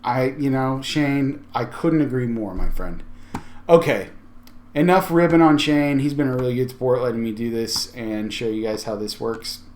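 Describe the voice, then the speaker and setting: A man talking informally through a software tutorial, thinking aloud as he goes. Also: medium pitch, moderate pace, a little hiss or hum. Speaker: tech tutorial host. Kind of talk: casual screen-share tutorial.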